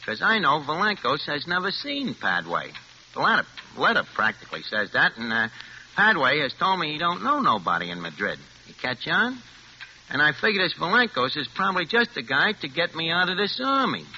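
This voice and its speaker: An actor in a 1940s-style radio drama playing a brash, streetwise New swindler, slangy and full of stammering bluster. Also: male voice, on the high side, sing-song, fairly quick, sharp tone, a little hiss or hum, full character voice. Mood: cocky. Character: brash, streetwise American swindler narrating his own scheme. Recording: dull vintage radio recording with music.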